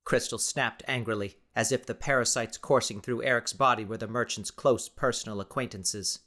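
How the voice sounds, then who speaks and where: A man's voice: mid-range voice, fairly even intonation, moderate pace, sharp tone. A man reading scripted, dramatic story narration as an audiobook narrator.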